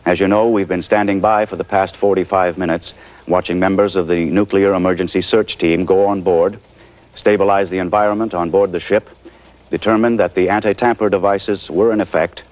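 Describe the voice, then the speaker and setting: A man playing a composed television news anchor covering a crisis live, measured but pausing haltingly. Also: low-pitched, fairly even intonation, darkish tone, highs cut off, close to an everyday voice. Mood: grave, measured. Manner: measured, hesitant live delivery with halting pauses. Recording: noisy 1980s television broadcast audio.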